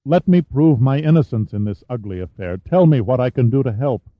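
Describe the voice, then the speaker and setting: A man's voice: mid-range voice, expressive, fairly quick, no room sound, lightly acted. A man voicing an earnest male leader who speaks in a formal, pleading way. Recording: dull, dry studio sound.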